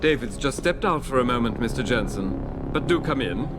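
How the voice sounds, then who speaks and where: An actor playing an older, genteel male scientist and industrialist who speaks in a measured, wry way, in a video game cutscene. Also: mid-range voice, lively intonation, loud music behind the voice, close to an everyday voice. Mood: sly. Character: aging genteel male tech magnate, wry. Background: loud score.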